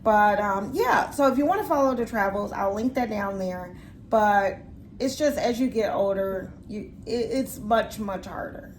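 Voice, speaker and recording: A woman's voice: mid-range voice, animated, unhurried. Woman, forties, casual vlog chat, reflective, faint room noise. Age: 40s. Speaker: vlogger.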